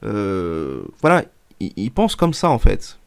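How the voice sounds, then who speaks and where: A man's voice: fairly low pitch, wildly swooping pitch, unhurried, vocal fry, clear, resonant tone. Man in his twenties with a cold. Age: 20s. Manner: rambling, many fillers and restarts. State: stuffy from a cold. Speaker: YouTuber. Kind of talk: casual vlog talk to viewers.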